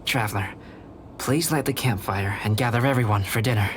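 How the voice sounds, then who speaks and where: A man playing a thoughtful young alchemist in a video game, composed, gentle and polite. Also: on the low side, a little fast, slight background noise, close to an everyday voice. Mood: calm, hospitable. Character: calm, courteous, thoughtful young male alchemist. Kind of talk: video game character acting.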